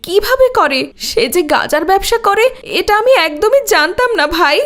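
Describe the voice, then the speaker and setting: A woman's voice: extremely high pitch, expressive, a little slow, fairly bright tone, full character voice. A woman voicing a vain, envious married neighbour in a cartoon. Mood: shocked. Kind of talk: Bangla cartoon dubbing.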